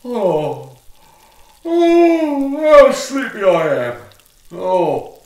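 A man as a melodramatic, high-voiced Victorian printer. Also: wildly swooping pitch, extremely slow, far from the mic, full character voice. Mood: sleepy, grumbling. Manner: grandiloquent, melodramatic, plaintive. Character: grumbling, indignant Victorian printer lodger, a man. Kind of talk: theatrical farce acting for audio play. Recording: roomy echo, away from the mic.

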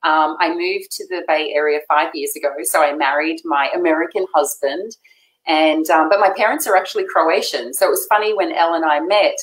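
A middle-aged Australian woman talking warmly and effusively as a guest on a video call. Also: deep voice, brisk. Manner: warm, effusive, laughs often. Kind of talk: casual chat. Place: home room. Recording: echoey room on video call.

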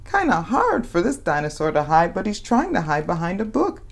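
A woman's voice: on the low side, moderate pace. A woman hosting a children's read-aloud, telling a picture-book story expressively and dramatizing it for young listeners. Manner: animated, dramatizes questions and exclamations for young children.

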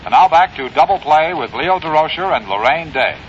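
A man's voice: on the high side, expressive, brisk. An American man announcing a 1950s radio show from a script. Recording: dull old radio recording, faint noise.

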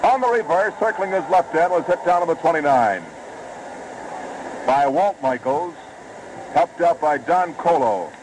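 A man calling a football game play by play on live radio, brisk and rising with the action, on a noisy old broadcast recording. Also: a little high, lively intonation, a little mellow. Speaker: play-by-play announcer.

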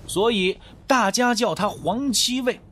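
A man narrating a scripted mystery audiobook in the first person, with dramatic, expressive storytelling. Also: sits high, measured pace, a little hiss or hum.

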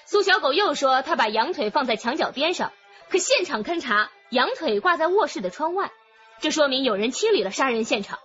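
A woman's voice: sits high, sing-song, a bit bright, lo-fi sound, faint music behind. An actress playing a composed, methodical policewoman with an authoritative delivery in a radio drama. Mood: probing. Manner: measured, authoritative. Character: composed, methodical female police officer presenting evidence.

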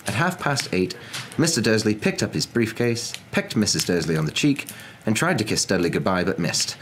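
A young man narrating a storybook in an expressive, theatrical and deliberate ASMR reading style. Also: fairly low pitch, rough recording. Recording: clear steady background ambience noise.

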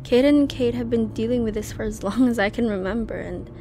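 A woman's voice: a little high, brisk, warm tone, slight background noise, lightly acted. A woman playing a short-tempered, resolute young secret agent out for justice in an audio drama. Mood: determined.

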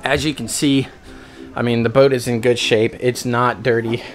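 A man's voice: mid-range voice, flat delivery, normal speed, faint music behind. Chatty young man vlogging outdoors. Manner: upbeat, chatty, lots of "guys" and fillers. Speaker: boat detailing business owner. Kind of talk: casual how-to vlog. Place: outdoors at a sunny marina. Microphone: handheld camera at arm's length.

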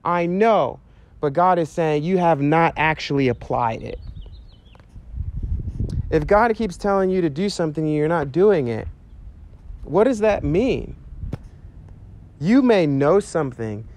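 A man in his thirties preaching outdoors to a camera, earnest and animated, talking casually with fillers. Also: a little high, unhurried, long pauses, a little hiss or hum. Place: outdoors by a chain-link fence on a sunny day. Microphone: handheld mic, medium camera shot.

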